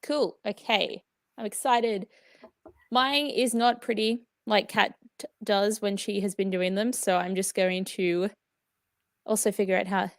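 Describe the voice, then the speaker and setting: A woman's voice: medium pitch, fairly even intonation, unhurried, dry recording, clean studio sound. A woman co-hosting a reading livestream, upbeat and giggly as she chats and sets a sprint timer. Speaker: readathon co-host. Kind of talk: casual livestream chat.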